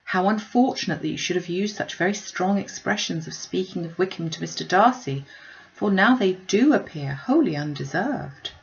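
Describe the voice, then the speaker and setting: A woman's voice: on the low side, expressive, moderate pace, gravelly, highs cut off. A woman voicing a kind-hearted, distressed young lady in a classic novel reading, with an earnest, plaintive way of exclaiming. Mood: gently reproachful.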